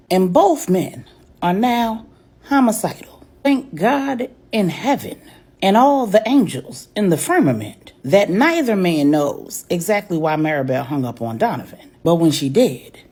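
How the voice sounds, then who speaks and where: A woman's voice: lowish voice, sing-song, measured pace, a little mellow, husky. A woman narrating a dramatic serial story in a colloquial, emphatic way.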